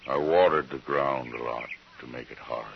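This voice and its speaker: An actor playing a gaunt, grieving frontier homesteader in an old radio western, speaking plainly and haltingly with resigned weariness. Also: male voice, rumbling low, fairly even intonation, vocal fry. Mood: wary, resigned. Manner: plain rustic speech, halting, subdued. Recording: dull, hissy old radio recording.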